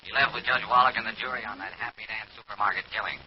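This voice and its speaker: A man's voice: lowish voice, fairly even intonation, very fast. An actor playing a laconic, reluctant male police desk officer on a telephone in 1940s radio style, dry and matter-of-fact. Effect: telephone filter. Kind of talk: 1940s radio detective acting. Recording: dull, noisy old radio, phone-filtered.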